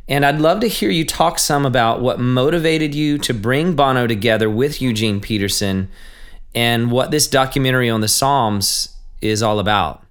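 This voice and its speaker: A man hosting a podcast, reading a measured, earnest introduction and then chatting with his guest. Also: medium pitch, smooth. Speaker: podcast host. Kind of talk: scripted intro then interview chat.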